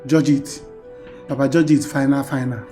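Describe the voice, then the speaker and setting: A Nigerian actor playing a stubborn fiancé in a film melodrama, insistent and repeating short phrases over music. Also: male voice, medium pitch, level tone, moderate pace, dark tone, close to an everyday voice. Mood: impatient. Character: stubborn man refusing to marry his pleading fiancée. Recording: music bed behind dialogue.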